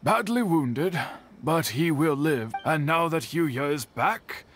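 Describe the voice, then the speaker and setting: A man's voice: a little high, expressive, takes it slowly, fairly bright tone, exaggerated voice. An actor playing an earnest male character in a video game. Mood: earnest, relieved. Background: faint game music.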